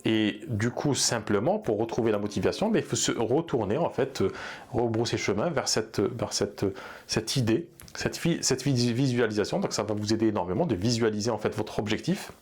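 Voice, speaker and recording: A man with a low voice gives earnest, unscripted advice to fellow therapy practitioners. He talks hesitantly, stopping often to restart his sentences and fill pauses. He also speaks Arabic. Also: lively intonation, a little mellow, breathy edge. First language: bilingual in Arabic. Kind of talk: unscripted advice talk to camera.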